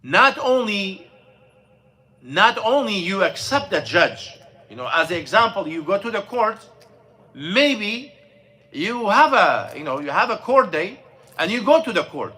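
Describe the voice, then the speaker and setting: A man, an imam, giving an informal mosque lesson on scripture, halting and searching for words, with non-native English grammar and frequent fillers. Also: high-pitched, big pitch swings, sharp tone. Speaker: imam teaching a class.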